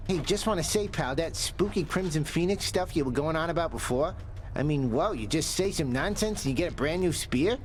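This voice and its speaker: A man's voice: a little high, lively intonation, brisk, slight background noise, lightly acted. A man voicing a breezy, slangy male skeleton who teases the hero in a video game. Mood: cheeky. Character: chummy male skeleton, boastful, cheeky.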